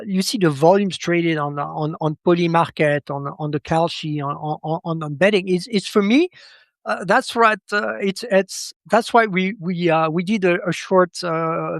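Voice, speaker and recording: A man speaking off the cuff as an interview guest and analyst, halting and often repeating words as he goes. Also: on the high side, creaky, no room sound. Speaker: podcast guest, credit market analyst. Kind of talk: unscripted interview commentary.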